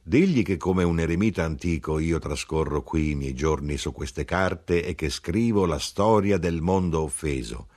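A man's voice: a bass voice, normal speed, hardly any pauses, darkish tone. A man voicing a small, sorrowful old man who speaks solemnly, as if preaching. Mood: mournful solemn.

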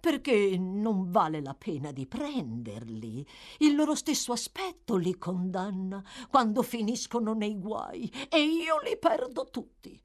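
A woman voicing a sly old male gang master in an audiobook, wheedling and gloating, with chuckles and drawn-out words. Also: very animated. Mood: defensive, scheming. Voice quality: hoarse, shrill chuckle.

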